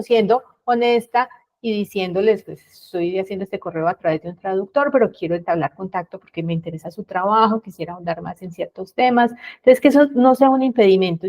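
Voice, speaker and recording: A woman's voice: mid-range voice, darkish tone. Woman prof, honest and careful, lots of ehs, kinda dull muffled sound. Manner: candid, measured, with hesitations. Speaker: professor and panelist. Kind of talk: panel discussion. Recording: slightly dull, muffled audio.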